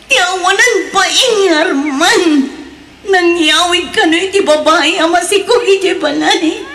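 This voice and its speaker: A woman's voice: very high voice, unhurried, fairly bright tone, a little hiss or hum, full character voice. An actress with an Ilocano accent playing a sorrowful young wife and mother in a radio drama. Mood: sobbing.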